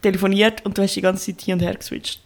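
Woman, host, gravelly fry, lively chat. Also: mid-range voice, fast. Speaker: interview host. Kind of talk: casual interview chat.

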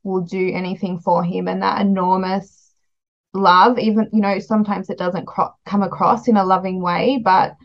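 A woman's voice: medium pitch, level tone, normal speed, no echo, lo-fi sound. An Australian woman hosting a podcast, asking questions and chatting casually about books in an interview.

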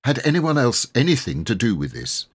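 Man playing a gentle, forgiving country vicar. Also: medium pitch, huge pitch swings, normal speed, breathy edge, pitched above the performer's own voice. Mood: kindly.

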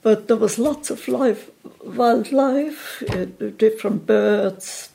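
Elderly Swiss woman in her eighties, interview guest, unhurried and hesitant, dark gravelly voice. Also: fairly high pitch. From Switzerland. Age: 80s. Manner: gentle, hesitant, many pauses and restarts. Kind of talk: casual reminiscing.

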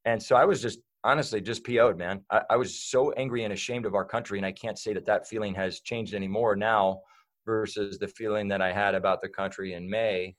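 Rambling man with a low voice. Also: steady tone, a little fast. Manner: rambling, many fillers and restarts. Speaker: guest. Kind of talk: casual interview talk.

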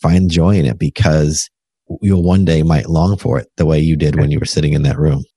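A man's voice: a bass voice, steady tone, brisk, warm tone, husky, no echo. A man interviewing an author, speaking in a thoughtful, encouraging, conversational way.

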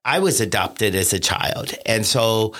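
A man speaking as a podcast guest in an earnest, measured, reflective way. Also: fairly low pitch, animated, a bit bright. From Cincinnati area. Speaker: podcast guest, consultant and franchisee. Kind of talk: conversational interview.

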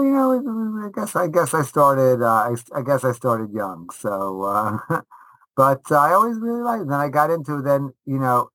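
A middle-aged man telling stories casually as an interview guest, animated, often repeating and restarting his phrases. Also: mid-range voice, dry recording, poor audio. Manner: animated, halting delivery with repeated false starts. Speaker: guest rabbi and veteran Torah teacher.

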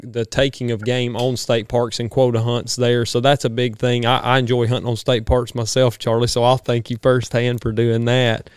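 A man's voice: medium pitch, smooth, no room sound. A man hosting a casual outdoors podcast from a small studio, folksy, with frequent restarts. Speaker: podcast host. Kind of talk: casual interview chat.

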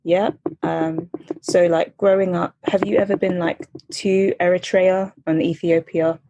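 A woman's voice: on the low side, flat delivery, a little slow, mellow, lo-fi sound, a little hiss or hum. A woman interviewing a guest, asking questions in a casual way with many fillers.

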